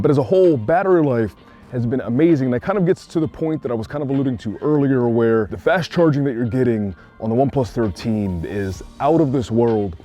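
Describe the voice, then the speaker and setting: A man's voice: medium pitch, mellow. A man giving a casual, conversational product review as a tech reviewer, often dropping "like" into his sentences. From Puerto Rican. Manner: conversational, frequent "like" asides.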